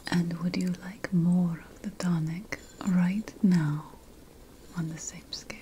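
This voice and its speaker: Very slow whispering witch priestess, acted by a woman. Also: lowish voice, steady tone, dark tone, a little hiss or hum. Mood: gentle, attentive. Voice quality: breathy, mostly whispered. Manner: slow, soothing, deliberate, repeating phrases. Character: calm, ceremonial ancient Mesopotamian priestess witch offering potions. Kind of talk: ASMR roleplay.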